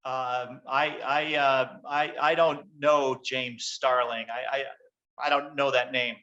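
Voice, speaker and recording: A man's voice: medium pitch, level tone, slow pace, sharp tone, lo-fi sound. An actor playing an evasive politician under pressure in a script read, stammering and flustered as he talks. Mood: evasive, defensive. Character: cornered, evasive male senator running for president. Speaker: actor in a virtual table read. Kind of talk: screenplay table-read acting.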